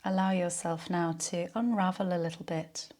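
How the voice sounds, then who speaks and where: A woman leading a guided yoga nidra relaxation in a soothing, unhurried, soft-spoken way. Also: on the low side, steady tone.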